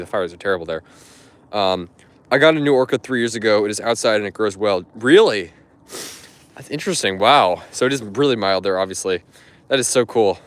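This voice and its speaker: A man's voice: fairly low pitch, a bit bright. A man hosting a live stream from public gardens outdoors, chatting with viewers in a rambling, friendly way full of fillers and restarts. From United States. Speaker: live-stream host answering chat. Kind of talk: casual live-stream chat while walking.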